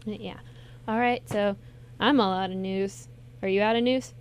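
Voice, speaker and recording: A woman's voice: mid-range voice, fairly even intonation, measured pace, clean tone. A woman hosting a podcast, chatting casually and rambling with fillers and self-corrections. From southern United States. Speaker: podcast host.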